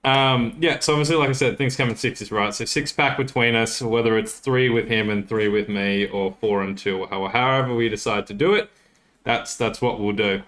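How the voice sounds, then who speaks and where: A man's voice: lowish voice, fairly even intonation, moderate pace, fairly bright tone. A young Australian man co-hosting a podcast in a casual, rambling way, often correcting himself as he goes. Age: young adult. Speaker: podcast co-host. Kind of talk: casual chat.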